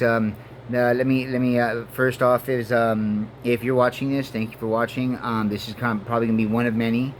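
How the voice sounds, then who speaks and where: A man in his forties whose first language is Spanish speaks at a middle pitch, earnest and halting. He fills gaps with ums and restarts sentences often. A faint hum of air conditioning sits under the voice. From Mexico and Denver, Colorado. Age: 40s. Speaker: vlogger. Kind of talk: rambling personal monologue to camera.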